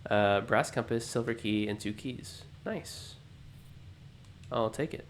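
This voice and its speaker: A man hosting a let's play, thinking aloud in an unhurried, musing way, his voice low and groggy from just waking up. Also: a little hiss or hum. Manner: musing, unhurried, self-talking. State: low morning voice, just woken up. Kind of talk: thinking-aloud gameplay commentary.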